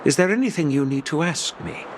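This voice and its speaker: A man's voice: on the high side, animated, background noise. An actor playing a composed, fair-minded man of authority in a game, courteous, formal and firm. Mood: calm, trusting. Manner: measured, formal. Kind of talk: game cutscene dialogue.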